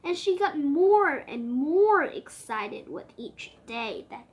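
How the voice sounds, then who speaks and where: Very high-pitched, animated young storyteller. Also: takes it slowly, muffled recording. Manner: expressive, playful, with gasps and exclamations. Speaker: co-host reading a picture book aloud. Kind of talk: animated read-aloud storytelling with chatty asides.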